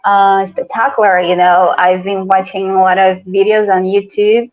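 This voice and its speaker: A woman's voice: mid-range voice, flat delivery, normal speed. A Brazilian woman with a Portuguese accent, an English teacher chatting on a video call, hesitant and often repeating words. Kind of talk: casual video-call conversation. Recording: dull lo-fi video call audio.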